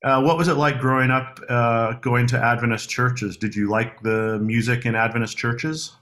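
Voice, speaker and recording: A man hosting a podcast interview, asking questions in a relaxed, hesitant way with many fillers and restarts. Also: medium pitch, normal speed. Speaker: podcast host. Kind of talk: conversational interview.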